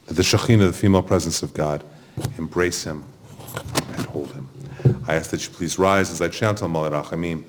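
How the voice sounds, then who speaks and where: A man speaking as a rabbi leading a funeral service, gently consoling and conversational as he gives a homily and prayers. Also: low voice, a little fast, noisy background. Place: funeral chapel.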